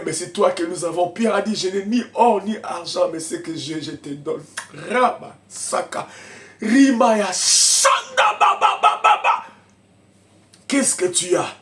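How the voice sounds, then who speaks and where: A man preaching a sermon as pastor, who has a cold and coughs. He moves between shouted, fervent lines and quiet, mumbled asides. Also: on the high side. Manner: speaks in tongues in bursts.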